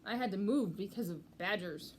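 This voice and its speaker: A woman voicing a scrappy, cheerful, bumbling male pack rat from a storybook, loud and blunt with a breezy manner. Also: medium pitch, moderate pace, slight background noise, close to an everyday voice.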